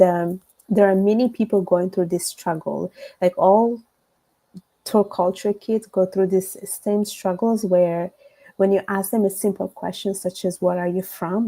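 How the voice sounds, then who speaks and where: A woman's voice: fairly low pitch, mellow. A woman from Italy with a strong Italian accent, speaking earnestly as a podcast guest, with frequent fillers. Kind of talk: interview conversation.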